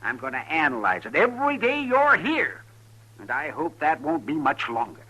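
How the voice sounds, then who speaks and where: An actor playing a crusty, irascible frontier town doctor, blunt and husky, in a 1950s radio western. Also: male voice, a little high, huge pitch swings, normal speed, pushed and bright, full character voice, poor audio. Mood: irate, scolding. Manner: blunt, scolding.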